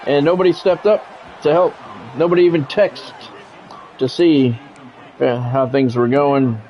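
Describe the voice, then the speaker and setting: A man's voice: a little high, steady tone, a little slow, some chatter behind, a little hiss or hum. An American man hosting a game livestream, chatting casually and bluntly between bits of play-by-play commentary. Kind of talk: casual live-stream chat with play-by-play commentary. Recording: dull lo-fi sound, music behind. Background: music.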